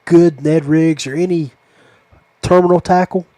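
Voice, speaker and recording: A middle-aged or older man with a slightly higher-pitched voice and a relaxed, mellow tone. He hosts a casual podcast chat in a folksy, affectionate, rambling manner. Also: unhurried, no room sound. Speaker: podcast host. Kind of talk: casual chat closing a fishing podcast interview.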